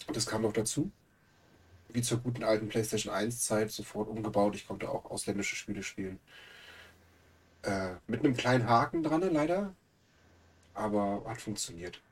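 A man's voice: fairly low pitch, moderate pace, long pauses, slightly rough, recorded from a distance. A man with a cold who sounds nasal, chatting casually as a podcast co-host with joking, teasing banter. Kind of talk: casual chat.

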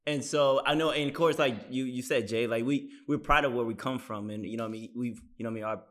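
A man's voice: medium pitch, fast talker, a bit bright. A man co-hosting a casual panel discussion in a laid-back, conversational way, often asking "you know what I mean".

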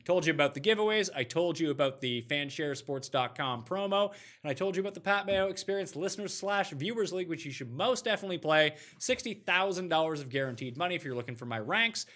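Animated, bright-voiced male sports host. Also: on the high side, fast talker. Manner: animated, slangy, joking. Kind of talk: casual fantasy football talk show banter.

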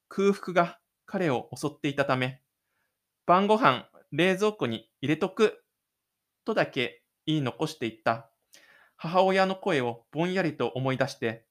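A man's voice: mid-range voice, a bit bright. A man narrating a scripted audio drama in a storytelling style.

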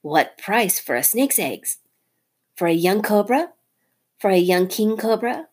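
A bold little mongoose from a storybook, crowing and daring, his voice pitched up and bouncing around, as a woman acts him out. A husky edge runs through his lines. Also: a little slow. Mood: triumphant. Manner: bright, excited and triumphant. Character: brave, boastful young male mongoose.

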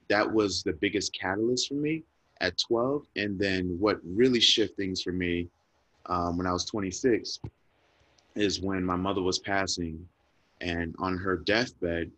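A man's voice: low voice, a bit bright, dry recording. A man telling a personal story in an interview, earnest and reflective, growing emotional and repeating words when moved. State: emotional, near tears. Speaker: guest speaker.

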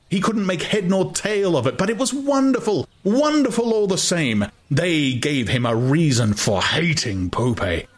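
A man narrating a literary audiobook in a dramatic, intense way, with deliberate phrasing. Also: medium pitch.

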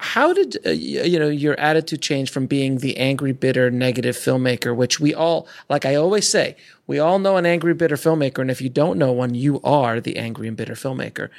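A man's voice: fairly high pitch, creaky. A man hosting a casual podcast who asks long, loosely phrased questions full of fillers. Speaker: podcast host.

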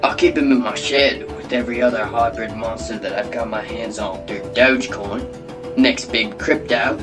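A man's voice: fairly low pitch, steady tone, moderate pace, over music, close to an everyday voice. A man playing a shady, boastful animal wrangler as a comedy show guest, rambling in slangy, matey patter.

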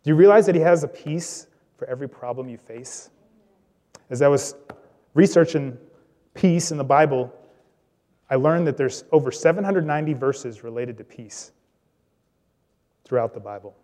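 A man preaching a sermon, telling a story in a relaxed conversational way with small jokes. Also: mid-range voice, normal speed, pauses often. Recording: reverberant hall.

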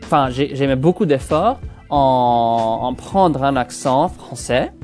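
A man's voice: mid-range voice, slow, darkish tone, soft background music. A Canadian man in his twenties with a France-style French accent, hosting a casual solo podcast in a rambling, hesitant way that keeps restarting phrases. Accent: Parisian-style French learned in France. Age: 20s. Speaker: podcast host.

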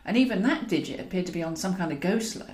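Expressive British woman narrator, roomy sound. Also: fairly low pitch, fast talker. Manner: wry, expressive. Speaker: author and narrator. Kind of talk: scripted comic audiobook narration. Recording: roomy, echoing voice recording.

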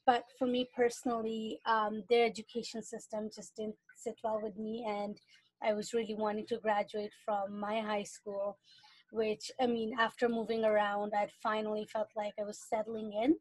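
A woman's voice: mid-range voice, level tone, moderate pace, no room sound, rough recording. A young adult woman from Iran, a guest on a podcast, talking casually and thoughtfully with frequent fillers. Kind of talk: casual interview talk.

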